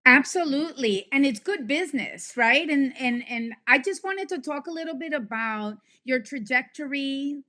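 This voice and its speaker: A woman hosts a conversational interview show. Her voice sits a little higher than usual, and she speaks with gushing emphasis. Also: expressive, moderate pace, hardly any pauses, bright, forceful tone. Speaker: podcast host.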